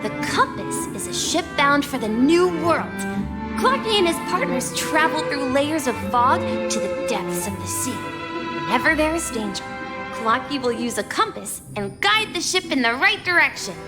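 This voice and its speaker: A young boy with a high, very lively voice. He is earnest and a little wistful, a game character dreaming of adventure, with clear music behind him. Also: normal speed. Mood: eager, proud. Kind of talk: video game voice acting. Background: background music.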